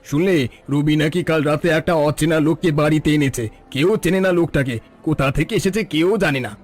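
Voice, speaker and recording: A man's voice: on the high side, a little fast, soft background music, natural voice. A man playing a suspicious, bossy village man in a cartoon story, speaking in an insistent, accusing way. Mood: wary, gossiping.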